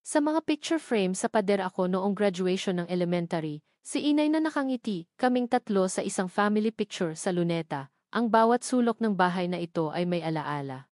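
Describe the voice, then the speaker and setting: A woman narrates a scripted love drama in the first person. Her voice sits at a middle pitch, recorded dry with no room around it. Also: expressive, fairly quick, a little mellow, dry recording. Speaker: narrator of a love story channel.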